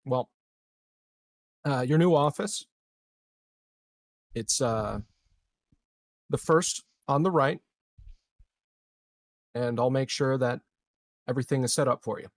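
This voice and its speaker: A man playing a thoughtful, gracious male colony leader with a deliberate, formal manner in a tabletop game. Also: medium pitch.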